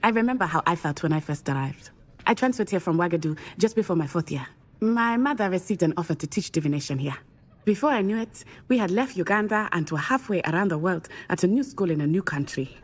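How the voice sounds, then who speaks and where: A confident, friendly teenage witch in a fantasy game. Her voice is husky and lively in pitch, composed and encouraging in manner. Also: fast. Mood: friendly, reassuring. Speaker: student character. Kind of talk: video game voice acting.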